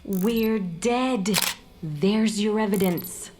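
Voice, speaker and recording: An actress playing the ghost of a murdered young mother in an audio drama, sharp-tongued and emotional, halting when upset. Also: medium pitch, slight background noise. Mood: indignant. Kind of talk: dramatic audio fiction acting.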